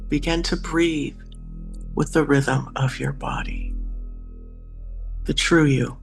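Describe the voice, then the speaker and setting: Guy doing a guided meditation, higher voice with lots of fry, music behind. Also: steady tone, slow pace.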